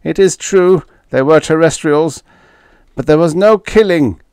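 A man's voice: on the high side, unhurried, darkish tone, clear, resonant tone, dry recording, pitched above the performer's own voice, close to an everyday voice. A man voicing a frail, evasive alien official in an audiobook, with a weak, trembling voice and formal, protesting diplomatic speech. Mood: weakly distressed. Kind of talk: audiobook character dialogue.

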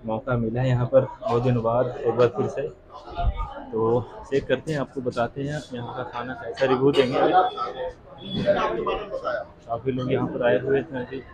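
A man's voice: mid-range voice, level tone, measured pace, long pauses. A young man in his twenties chatting casually as a vlogger, filming himself on a phone held at arm's length. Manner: chatty, repetitive, walks while filming. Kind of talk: casual vlog narration. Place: outdoors in city streets and a busy restaurant. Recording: dull lo-fi phone audio with crowd. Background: crowd chatter.

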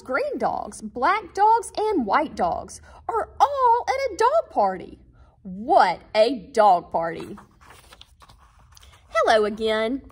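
A woman reading a picture book aloud to young children, with animated, deliberate and emphatic phrasing. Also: high voice. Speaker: guest children's book reader.

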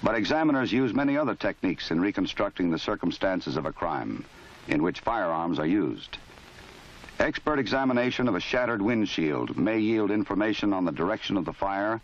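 A low-voiced American man narrates in a formal, measured style. He sounds authoritative and matter-of-fact, reading a script for an instructional film. The sound is dull and lo-fi, like a 1970s film soundtrack.

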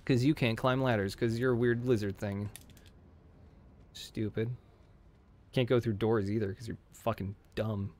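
A man with a hoarse voice, casually commenting on his gameplay and joking at the enemies on screen. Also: lowish voice, steady tone, measured pace, pauses often, darkish tone. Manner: jokey, talks to enemies on screen. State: hoarse from screaming. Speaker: game streamer.

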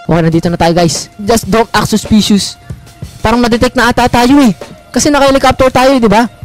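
A woman's voice: on the high side, a little fast, soft background music. A woman with a Filipino accent improvising a game roleplay as group leader, often repeating words in bursts. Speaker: gamer leading a roleplay group.

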